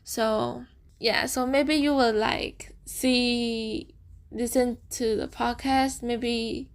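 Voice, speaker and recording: Slow, halting young woman, Chinese native. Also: a little high, steady tone. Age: young adult. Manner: hesitant, halting non-native English, frequent "okay?" tags; slow, thoughtful, giggles often. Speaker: student vlogger and podcaster. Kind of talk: casual chat to camera.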